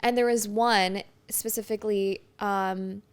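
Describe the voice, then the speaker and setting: Chatty young woman, smooth voice. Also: mid-range voice, fairly even intonation, measured pace. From France. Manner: chatty, upbeat, lots of "like". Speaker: podcast guest. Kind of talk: casual chat.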